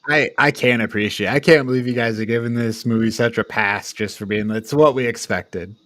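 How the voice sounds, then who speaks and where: Guy hosting a review podcast, average pitch, very dry sound, exasperated and critical. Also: fairly quick, a bit bright, no echo. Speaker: podcast host leading a film discussion. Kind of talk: casual review chat.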